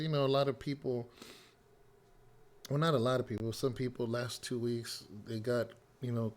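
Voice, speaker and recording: A man with a slightly hoarse, raspy voice, still getting it back after a cold. He speaks at a plain middle pitch, talking loosely and personally to his congregation, with lots of "um" and "you know". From California. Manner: relaxed, rambling storytelling with many fillers. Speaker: pastor. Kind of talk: casual spoken devotional update.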